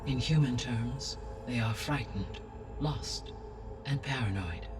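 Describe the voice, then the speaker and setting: Slightly distant, with music clearly playing behind, a man voices a grave male AI custodian from a video game. He speaks in a mid-pitched voice with formal, measured precision and calm, all-knowing authority. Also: natural voice. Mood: solemn, informative. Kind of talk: cinematic video game acting, exposition.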